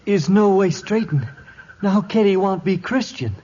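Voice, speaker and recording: A man's voice: high voice, lively intonation, measured pace, dark tone. An actor playing a lovesick, downcast young tribesman in 1950s radio drama, speaking plaintive broken English. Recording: muffled, hissy old radio recording.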